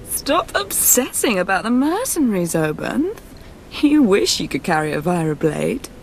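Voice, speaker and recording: A woman's voice: medium pitch, very animated, moderate pace, a little hiss or hum, low-quality recording. An actress playing a spoiled young rich woman in a video game, coy, teasing and dismissive. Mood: bratty, dismissive. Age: young adult. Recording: music under the voice.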